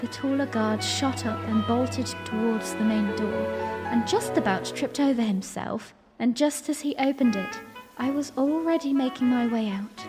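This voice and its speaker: A woman's voice: fairly high pitch, normal speed, long reverb tail, loud music behind the voice, lightly acted. A woman playing a young princess, narrating her memory in a dramatic first-person audio drama style. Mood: puzzled, storytelling.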